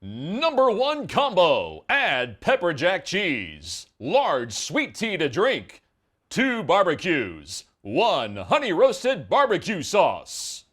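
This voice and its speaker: Man putting on swooping, drawn-out stadium announcer. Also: high voice, wildly swooping pitch, a bit bright, breathy edge, pitched above the performer's own voice, heavily acted. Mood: showy announcing. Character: man slowly announcing a fast-food order.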